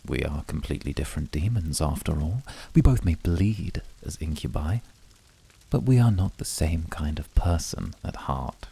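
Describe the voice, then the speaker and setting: A man plays a cool male incubus in an ASMR roleplay, his voice very husky and full of gravelly creak. He speaks darkly and formally, with dry teasing and courtly devotion. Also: rumbling low, lively intonation, warm tone, exaggerated voice. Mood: cool, composed. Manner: formal, measured phrasing.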